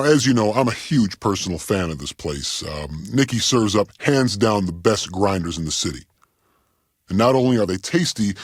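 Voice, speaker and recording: A man playing a goofy, self-important rock radio DJ in a video game, rambling in a deadpan way with ums and trailing-off thoughts. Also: medium pitch, no room sound, clean studio sound, lightly acted. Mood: earnest rambling. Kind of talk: comedic radio DJ patter.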